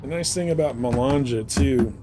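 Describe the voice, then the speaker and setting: A man's voice: medium pitch, moderate pace, noisy background. A man livestreaming a space game, chatting casually and rambling informally as he thinks aloud. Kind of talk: casual chat while playing a space game.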